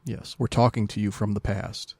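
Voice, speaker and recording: Gravelly low-voiced podcast co-host. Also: male voice, steady tone, normal speed, darkish tone, no room sound. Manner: dry, joking asides. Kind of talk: casual podcast chat.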